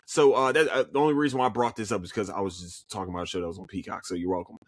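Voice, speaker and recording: A man's voice: on the low side, very fast, no room sound, clean studio sound. A man with a Philadelphia accent hosting a podcast alone, chatting casually about shows he watched, cursing freely and restarting his sentences often. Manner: animated, swears freely, frequent restarts and stammered repeats. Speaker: podcast host. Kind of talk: casual solo review chat.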